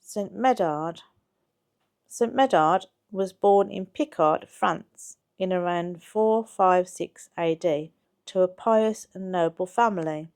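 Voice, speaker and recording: A woman's voice: lowish voice, slow pace, a little mellow, clear, resonant tone. A woman reading a scripted history narration aloud in a factual, storytelling manner. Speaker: channel co-host narrating a history video.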